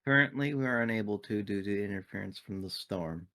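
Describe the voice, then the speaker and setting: A man plays a synthetic crew member in a tabletop sci-fi game, in a lowish register. The wording is formal and exact, polite and without emotion. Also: fairly quick, sharp tone. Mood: calm, formal. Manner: formal, precise, unemotional phrasing. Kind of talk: in-character tabletop roleplay.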